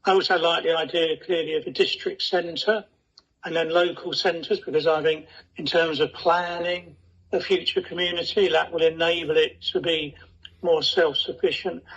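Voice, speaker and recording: A man's voice: a little high, level tone, moderate pace, a bit bright, breathy edge. A man making considered, polite remarks at a meeting. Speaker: meeting speaker, cycling forum chair. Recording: dull lo-fi meeting recording.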